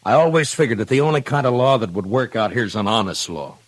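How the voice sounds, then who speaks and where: An actor playing an honest, conflicted western lawman, gruff and laconic, in 1950s radio drama style. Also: medium pitch, lively intonation, quick pace, dry recording, lightly acted. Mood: troubled. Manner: laconic, probing, plainspoken. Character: stern but conflicted, honest frontier lawman. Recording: vintage radio recording.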